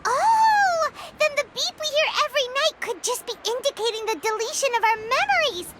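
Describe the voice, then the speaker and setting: A woman voicing a tiny, excitable childlike fairy companion in a video game, with a squeaky voice. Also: moderate pace, heavy background noise. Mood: excited realization. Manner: excitable, speaks of herself in third person. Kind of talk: video game cutscene acting.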